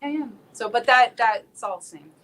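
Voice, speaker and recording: A woman's voice: a little high, normal speed, fairly bright tone. An American woman from South Florida, a singer chatting casually as a guest in a video call interview, laughing often and restarting her sentences.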